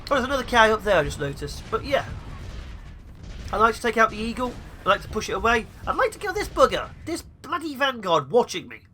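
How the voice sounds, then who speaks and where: A young man with the flu, sounding sniffly, giving excitable let's play commentary. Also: high voice, fast talker, slight background noise. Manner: excitable, mutters at enemy ships. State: sniffly with flu, sore throat. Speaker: gaming YouTuber.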